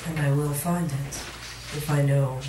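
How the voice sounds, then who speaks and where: A woman reciting poetry at a live reading, with dramatic, deliberate phrasing. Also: low voice, steady tone. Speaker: poet, featured reader. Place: literary cafe, indoors, live reading. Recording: echoey room recording from far off, audience noise. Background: cafe audience sounds.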